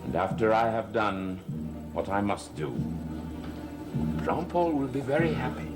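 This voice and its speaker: A scheming plotter talks low and insinuating, his voice sweeping widely up and down. Loud music plays under him on an old television soundtrack. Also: big pitch swings, a little slow. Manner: insinuating, wheedling. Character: scheming man plotting with a servant. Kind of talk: 1960s soap opera acting.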